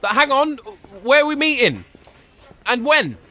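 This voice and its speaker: An actor playing a glib, excitable eighteenth-century newspaperman in an audio drama, wry and easily flustered. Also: very high voice, a little slow, full character voice. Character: eager, wry, excitable 18th-century journalist and publisher. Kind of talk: period audio drama acting.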